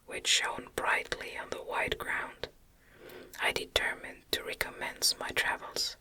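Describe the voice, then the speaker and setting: A woman reads literary narration entirely in an unvoiced, breathy whisper. The sound is very bright and sibilant. Her delivery is gentle and unhurried, with deliberate, softly articulated short phrases in an ASMR style. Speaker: audiobook narrator. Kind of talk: ASMR whispered novel reading.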